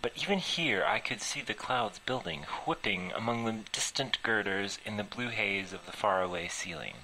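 A man's voice: on the low side, expressive, normal speed, sharp tone, slight background noise, poor audio. A man reading his own story aloud as amateur first-person narration, occasionally stumbling over words.

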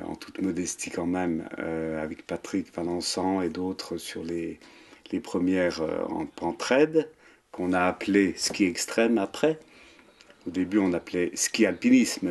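Older french guy, really low voice, umms a lot, chatting at a table in a wooden chalet. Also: darkish tone. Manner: hesitant, many pauses and fillers. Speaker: mountain guide and author. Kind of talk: relaxed interview. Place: wood-panelled chalet room, indoors. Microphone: seated at table, framed camera.

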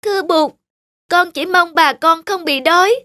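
A woman voicing an earnest poor young farm boy in an animated fairy tale, plaintive and full of exclamations. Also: very high voice, unhurried, no echo, studio quality, exaggerated voice. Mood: distressed, pleading. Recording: music under the voice.

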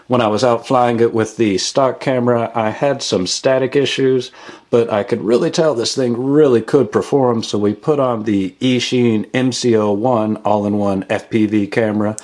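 A man's voice: mid-range voice, a little mellow. A man hosting a casual product review voice-over in a matter-of-fact way. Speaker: product reviewer and channel host.

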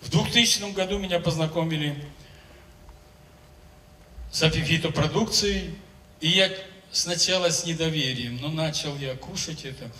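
A man in his seventies, a singer, telling his story on a concert stage into a handheld microphone, in a measured, declamatory way. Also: a little high, sharp tone, slight background noise. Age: 70s. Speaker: singer giving a testimonial on stage. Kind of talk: stage speech to an audience. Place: large concert hall stage. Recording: roomy hall sound from a distance.

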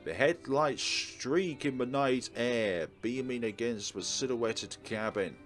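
A man's voice: medium pitch, slow pace. A man hosting a let's play, reading visual novel narration aloud and adding casual commentary. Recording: game music behind the voice. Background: visual novel soundtrack.

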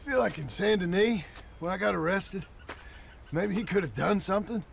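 A man's voice: high voice, animated, normal speed, creaky, slight background noise, heavily acted. An actor playing an anxious, earnest young male outlaw in a video game. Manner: nervous and earnest. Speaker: outlaw gang member.

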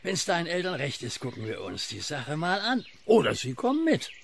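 An actor playing a friendly, knowing old country neighbour in a radio play, gravelly and colloquial. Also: male voice, on the high side, wildly swooping pitch, normal speed, slight background noise, exaggerated voice. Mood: friendly, instructive. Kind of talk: German radio play acting.

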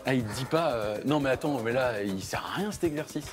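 A man's voice: medium pitch, animated, brisk. A man coaching in an indoor sports hall, giving instructions and bantering casually. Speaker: tennis coach. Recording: music over the voice.

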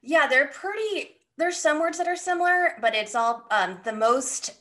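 A woman in her thirties explaining casually as a panelist, in halting phrases. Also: high-pitched, animated, sharp tone. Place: indoor room on video call.

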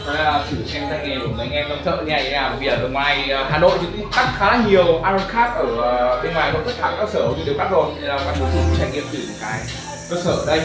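A man's voice: on the high side, lively intonation, fast talker, loud music behind the voice, rough recording. A man, chatting casually to camera in a vlog with plenty of fillers. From northern Vietnam. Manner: chatty, upbeat, filler-heavy. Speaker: vlogger. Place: barbershop and car at night.

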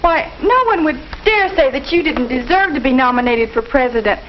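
A woman's voice: high-pitched, expressive, a little fast. A young woman playing a loyal, earnest teenage girl in a 1950s school film, speaking in a reassuring way. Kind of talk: 1950s educational film acting. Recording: muffled old film soundtrack with hiss.

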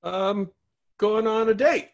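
A man's voice: high-pitched, slow pace, creaky. A man reading a hopeless romantic lead at a table read, with a sheepish, self-pitying comic delivery.

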